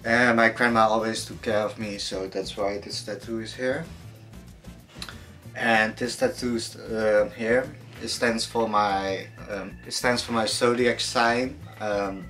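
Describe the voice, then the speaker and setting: A young man answering viewer questions in a casual fitness vlog, relaxed and hesitant, with many fillers and some casual swearing. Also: fairly low pitch, slow pace, soft background music. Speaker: fitness vlogger.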